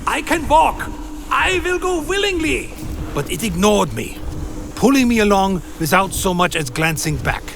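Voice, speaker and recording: Creaky-voiced vampire narrator. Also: male voice, high voice, big pitch swings, unhurried, noisy background, exaggerated voice. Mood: pleading. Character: anxious vampire recounting his own dark tale. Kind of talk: first-person dramatic narration, radio play.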